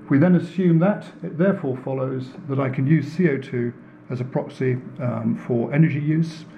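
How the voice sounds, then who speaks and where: A man giving a public lecture in an earnest, deliberate way, repeating phrases for emphasis. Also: medium pitch, gravelly, lo-fi sound, slight background noise. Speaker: speaker giving a talk, works in renewable energy. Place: indoor room in front of an audience.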